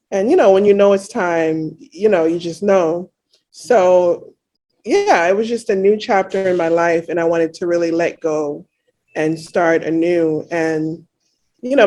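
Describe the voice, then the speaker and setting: Reflective woman guest, spiritual, unhurried. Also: lowish voice, a little mellow. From Jamaica. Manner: reflective, many "you know" fillers. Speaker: interview guest. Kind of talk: casual interview chat.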